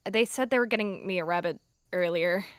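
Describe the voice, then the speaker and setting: A woman's voice: lowish voice, brisk, dry recording, studio quality, lightly acted. A woman plays a young tomcat apprentice in an animal roleplay game. He sounds insecure but hopeful, faltering as he speaks, with little room sound. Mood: timid. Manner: hesitant, faltering.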